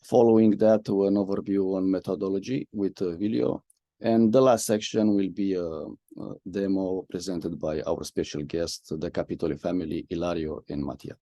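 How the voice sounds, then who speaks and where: Host-type man, low and mellow, dry close sound, video-call moderator with filler-heavy delivery. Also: steady tone, moderate pace, no echo. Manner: frequent "uh" fillers, friendly moderator. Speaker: host, media and communication lead. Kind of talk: webinar hosting.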